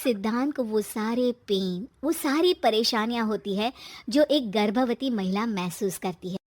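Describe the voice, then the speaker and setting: A woman's voice: a little high, measured pace, darkish tone. A woman reading scripted narration as the narrator of a story.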